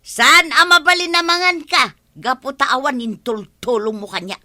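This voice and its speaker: Casting: nagging exasperated wife for radio comedy, Ilocano accent, highish pitch, bright cutting tone. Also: female voice, normal speed. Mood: scolding. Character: exasperated hardworking wife scolding her idle husband.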